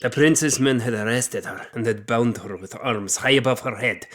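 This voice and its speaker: A man's voice: medium pitch, moderate pace, a bit bright, close to an everyday voice. An actor playing a grim man who recounts his violent boyhood in a solemn, bitter manner for an audio drama. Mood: cold, bitter. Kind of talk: first-person dramatic storytelling in an audio drama.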